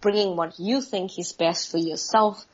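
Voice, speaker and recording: A South African woman in her forties, speaking as a podcast guest, thoughtful and measured, with hesitant fillers. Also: fairly low pitch, steady tone, slightly rough, dull audio. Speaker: guest, friendship expert. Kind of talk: podcast interview.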